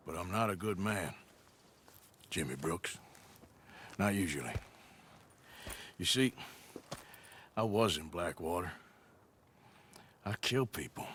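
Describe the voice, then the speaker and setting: An actor playing a gruff American outlaw cowboy in a Western game, with a dry, folksy Southern drawl. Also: male voice, sits low, fairly bright tone, a little hiss or hum, exaggerated voice. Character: gruff outlaw cowboy, wry and quietly menacing. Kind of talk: Western video game acting.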